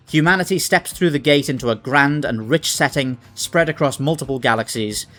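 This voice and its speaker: A man's voice: mid-range voice, fairly bright tone. A man hosting a scripted video essay, speaking in an articulate, analytical way. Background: background music.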